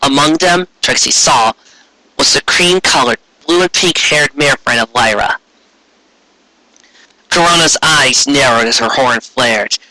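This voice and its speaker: A man's voice: mid-range voice, a little slow, sharp tone, low-quality recording. A man reading a scripted fan fiction story aloud as its narrator.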